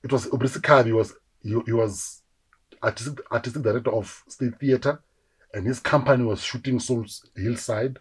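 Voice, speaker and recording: A South African man in his forties talks through his career in a relaxed interview. His voice is husky and mid-pitched. He tells his stories with energy and acts out the voices of the people he quotes. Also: moderate pace. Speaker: actor guest. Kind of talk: casual interview storytelling.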